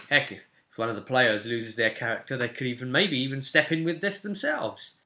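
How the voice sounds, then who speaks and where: Bright-voiced young man explaining a tabletop game. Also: mid-range voice. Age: young adult. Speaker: game master and channel host. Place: small furnished room at home, indoors.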